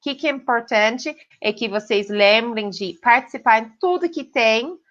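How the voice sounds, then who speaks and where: A woman's voice: fairly high pitch, unhurried, a bit bright. Woman teaching, checking in with "ok?". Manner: direct, addressing students, frequent "ok?" checks. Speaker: English teacher. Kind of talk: online pronunciation lesson. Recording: slightly dull lo-fi recording.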